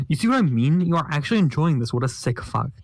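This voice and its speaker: A man's voice: fairly high pitch, sing-song, fast. A man voicing an abrasive, foul-mouthed young male troll who rants pompous insults, reading a comic aloud. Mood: scornful. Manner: insulting, ranting, pompous wordplay. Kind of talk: comic read-along voice acting.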